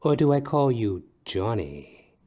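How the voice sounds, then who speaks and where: A man acts out a wealthy, seductive middle-aged woman in a noir detective radio play. The voice is dark and mellow, lingering slowly over coy phrases and swooping in pitch as it teases. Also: big pitch swings. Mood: sultry, teasing. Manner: slow, coy, teasing, drawn-out flirtation.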